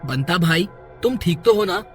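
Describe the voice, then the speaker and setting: A man's voice: high voice, expressive, normal speed, soft background music. A man voicing an anxious, helpful village husband and friend in a horror cartoon, earnest and urgent. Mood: concerned. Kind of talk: Hindi horror cartoon character voice.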